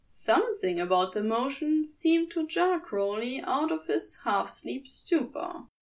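A woman reading scripted fiction aloud as an expressive audiobook narrator. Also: sits high, measured pace, far from the mic. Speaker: podfic narrator.

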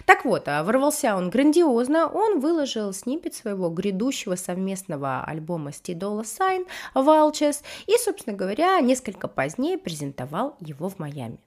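A woman's voice: medium pitch, big pitch swings, normal speed, few pauses. A woman hosting a music news podcast in a casual, slangy way, her voice nasal from a cold, swearing freely.

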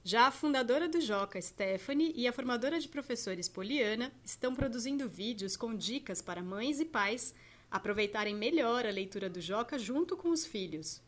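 A woman podcast host and journalist reading a news roundup and interviewing a guest in a steady, clear presenter delivery. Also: fairly high pitch, moderate pace.

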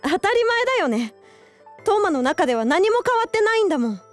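A woman's voice: extremely high pitch, sing-song, brisk, faint music behind. An actress in a radio drama playing an earnest young woman who hides her love for a childhood friend. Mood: wistful. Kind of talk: Japanese radio drama acting.

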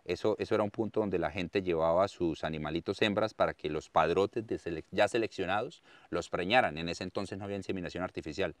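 A man in his thirties with a Colombian accent from Santander, talking as the interviewee about his life in earnest, expressive stories and often repeating words for emphasis. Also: sits low, fast, hardly any pauses, dry recording. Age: 30s. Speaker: interviewee, farmer and content creator. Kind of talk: conversational storytelling interview.

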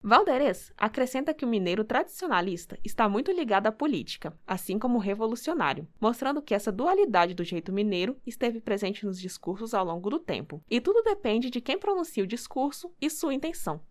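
Woman narrator, Minas Gerais accent, animated pitch, higher voice. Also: brisk, few pauses. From Minas Gerais, Brazil. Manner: runs words together. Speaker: reporter narrator. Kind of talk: scripted documentary narration.

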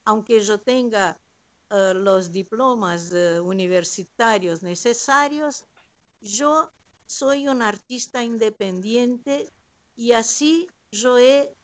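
An older Brazilian woman whose first language is Portuguese speaks Spanish at an unhurried, deliberate pace, with a Brazilian accent and a Rioplatense lilt. Her voice sits a little high and is polite and measured. The audio is dry and close over a video call. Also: dry recording. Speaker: guest artist. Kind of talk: slow, careful personal account. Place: her home, remote link.

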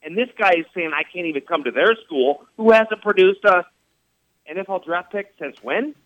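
A man's voice: high-pitched, expressive, normal speed, no room sound. A man hosting sports radio talk, emphatic and repetitive, firing off rhetorical questions. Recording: dull radio studio sound, dry.

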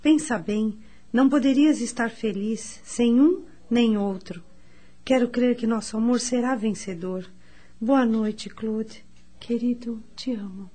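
Woman playing a gentle, mellow young sweetheart. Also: fairly high pitch, slow. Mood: tender, resolute. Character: gentle, devoted young woman in love, charitable, earnest. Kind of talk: radio drama acting.

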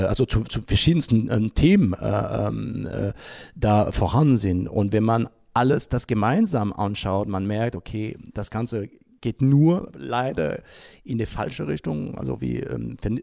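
A man giving unscripted interview answers, haltingly, often pausing and searching for his words. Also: on the low side, gravelly, mellow, no room sound, studio quality. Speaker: interview guest, environmental group founder.

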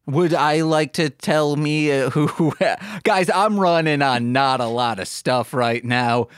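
A man's voice: fairly high pitch, slow, smooth, no room sound. A man co-hosting a comedy podcast, riffing casually in a goofy, rambling storytelling way. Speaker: podcast co-host.

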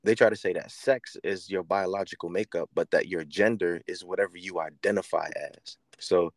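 A man co-hosting a podcast who argues his points earnestly, often pausing and restarting his sentences. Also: deep voice, steady tone, no echo. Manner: earnest, argumentative, hesitant restarts. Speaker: podcast co-host. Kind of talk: casual podcast chat.

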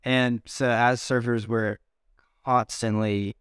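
A man hosting a casual interview in a relaxed, slangy way. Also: mid-range voice, slow, clean tone, dry recording, studio quality. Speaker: podcast host.

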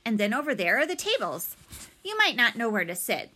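A woman's voice: a little high, sing-song, a little fast, pushed and bright, exaggerated voice. A woman voicing an eager, helpful, slightly nerdy schoolboy in a children's storybook, earnest and explanatory.

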